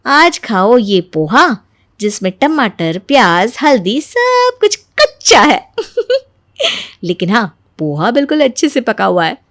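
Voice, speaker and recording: A sly, sugary young daughter-in-law from a family cartoon, acted by a woman. Her voice is very high and swoops widely, with a dry studio sound. She pesters eagerly with sing-song mock innocence. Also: sing-song, takes it slowly, fairly bright tone, no room sound, heavily acted. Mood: sly scheming. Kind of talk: animated moral story voice acting.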